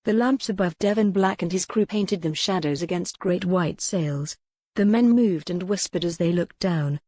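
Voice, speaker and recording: A woman with a fairly low voice narrates a historical romance audiobook. Her delivery is scripted and dramatic. The sound is very dry, with no echo. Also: moderate pace, mellow.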